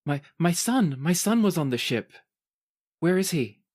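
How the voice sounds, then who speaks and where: A man's voice: a little high, big pitch swings, a little mellow, voice pushed up. Man acting a dazed, shaken ship captain. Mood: distressed, pleading. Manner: halting, bewildered questioning. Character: shaken, dazed revived male ship captain, grieving father.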